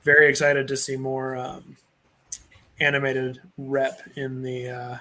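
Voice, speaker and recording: A man hosting a live show, chatting casually and enthusiastically, sometimes halting over his words. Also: mid-range voice, completely flat delivery, bright, forceful tone. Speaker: host. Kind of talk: casual chat.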